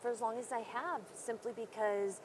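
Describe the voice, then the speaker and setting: A woman's voice: a little high, fairly even intonation, normal speed. A woman who runs a small credit union, chatting in a conference interview, self-deprecating and full of fillers. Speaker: credit union CEO, guest. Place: busy conference hall. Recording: noisy conference floor.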